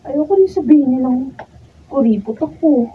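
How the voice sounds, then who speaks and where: A distant, slightly dull recording of a breezy, vain young Filipino woman. Her voice is husky and on the high side, in a colloquial radio drama style. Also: expressive, normal speed, a little hiss or hum. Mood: fretting. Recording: dull, distant room pickup.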